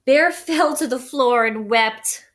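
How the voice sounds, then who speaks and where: A woman reading a children's story aloud in an expressive, deliberate, dramatic way with pauses. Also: high-pitched. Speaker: storybook reader.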